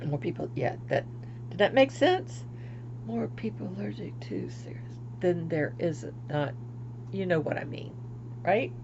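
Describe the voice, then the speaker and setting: An older woman from Florida, chatting casually on her craft livestream, rambling and laughing at herself, often trailing off quietly. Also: fairly low pitch, big pitch swings, a little slow, creaky, long pauses, highs cut off, background noise. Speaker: craft vlogger.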